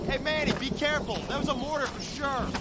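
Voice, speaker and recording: An American actor playing an excitable, naive young infantry soldier in a radio drama, talking in colloquial slang. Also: male voice, extremely high pitch, fast talker, very noisy. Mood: wary.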